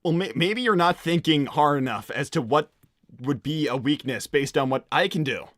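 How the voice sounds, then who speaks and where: Casting: male actor as young hedgehog hero, highish bright voice, wisecracking and casual, stumbling over words, cartoon livestream, dry close sound. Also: lively intonation, normal speed, dry recording. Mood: coaxing. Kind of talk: improvised in-character livestream roleplay, reading out messages.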